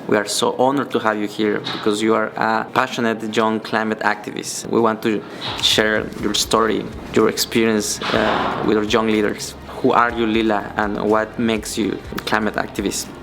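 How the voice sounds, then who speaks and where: A man's voice: on the low side, level tone, moderate pace, gravelly, noisy background. A man hosting an interview series, speaking with many fillers and repeated words. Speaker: host.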